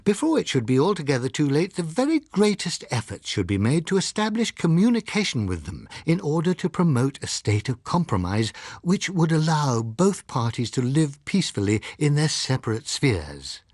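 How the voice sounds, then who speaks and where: A man's voice: fairly high pitch, big pitch swings, normal speed, darkish tone, dry recording, studio quality, pitched above the performer's own voice. A British man voicing an earnest, bitter dissenting scientist in an audiobook, speaking in a formal, grave and insistent way. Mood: urgent warning. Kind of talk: audiobook character reading.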